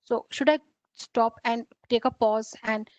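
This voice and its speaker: A woman's voice: a little high, steady tone, a little slow, darkish tone, no room sound. Earnest Indian woman lecturing online. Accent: Indian English. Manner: earnest, repetitive, many fillers and restarts. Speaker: faculty development trainer. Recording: dull lo-fi, dry online call audio.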